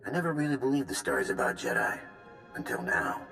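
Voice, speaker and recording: Game military officer: duty-bound, sincere, deep and expressive, soft music behind. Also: male voice, fast, gravelly, full character voice. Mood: earnest urgency. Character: earnest, duty-bound Republic officer handling a riot. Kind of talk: dramatic video game voice acting.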